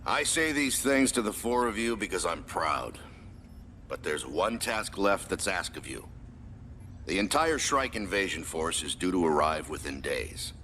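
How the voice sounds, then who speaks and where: A stern, proud commander in a game cutscene. His low voice is forceful and swings widely as he delivers solemn, commanding speeches. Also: animated, a little slow, a little hiss or hum, heavily acted. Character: proud, stern male military commander briefing his elite squad. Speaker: commanding officer. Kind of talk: dramatic video game cutscene acting.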